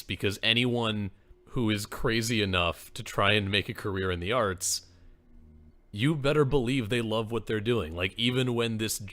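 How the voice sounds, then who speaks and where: Casting: male podcast co-host, lowish pitch, bright forward tone, earnest chat. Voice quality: voice people call radio-like. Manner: earnest, peppers speech with "like".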